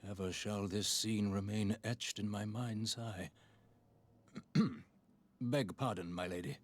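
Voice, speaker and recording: Earnest scholar, courteous, archaic measured speech, slow, fantasy game character. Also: male voice, lowish voice, steady tone. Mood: awed. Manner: formal archaic phrasing, measured and reverent.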